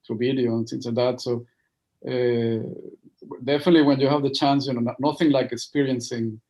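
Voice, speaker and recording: A man with a strong Venezuelan Spanish accent talks over a video call. His voice is warm and dark, and he speaks haltingly, piling up fillers and restarts as he tells a story. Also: mid-range voice, fairly even intonation, rough recording. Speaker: guest, NGO project leader. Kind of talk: livestream interview, storytelling.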